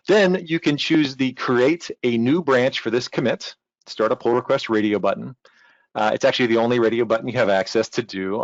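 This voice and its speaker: A man presents a technical walkthrough in an informal, conversational way, with a reassuring manner. His voice sits at a medium pitch. The recording is dry and somewhat dull, with little room sound. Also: no echo. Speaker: technical documentation team member, presenter.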